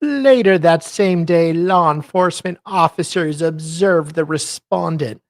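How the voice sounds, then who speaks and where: A man voicing a grand, haughty, aggrieved lady in a pompous, drawn-out, mock-dramatic reading of a sworn legal declaration. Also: on the high side, dry recording, studio quality, voice pushed up. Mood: grandly accusing. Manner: pompous, theatrical, drawn-out delivery.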